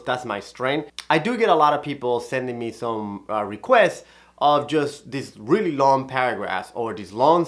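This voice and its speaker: Man teaching calligraphy. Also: mid-range voice. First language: Spanish. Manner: explanatory, steady, methodical. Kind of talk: instructional video talk.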